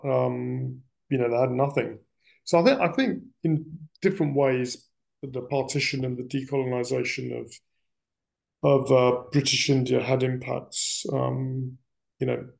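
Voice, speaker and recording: A middle-aged man speaks at an ordinary pitch with heavy vocal fry running through his voice. He talks as an interviewee reflecting on family history, in a casual, discursive way with halting phrases. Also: a little mellow, low-quality recording. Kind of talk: reflective personal storytelling in casual conversation.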